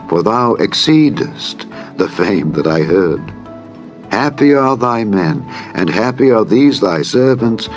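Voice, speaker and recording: A man's voice: on the low side, lo-fi sound. A man voicing an admiring, reverent visiting queen in a formal, declamatory scripture reading.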